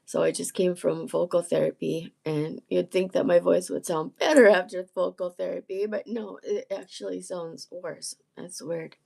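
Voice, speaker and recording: A woman with a gravelly, husky, croaky voice, strained after illness, chatting casually on a live stream and often clearing her throat. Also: fairly low pitch, fairly even intonation, moderate pace, hardly any pauses, dark tone. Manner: rambling, self-interrupting, frequent throat clearing. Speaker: musician and channel host.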